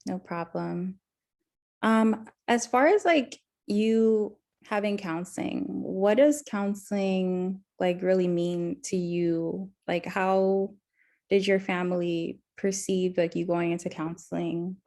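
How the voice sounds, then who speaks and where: A woman in her twenties plays the counselor in a mock intake conversation. Her delivery is halting, full of fillers and self-corrections, at a middling pitch for a woman. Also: steady tone, mellow. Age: 20s. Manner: hesitant, heavy on fillers and restarts. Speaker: counselor in a practice session.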